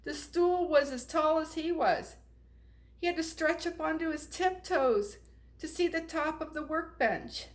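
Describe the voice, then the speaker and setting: A woman reading a children's storybook aloud in an expressive, unhurried way. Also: high voice. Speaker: storybook reader.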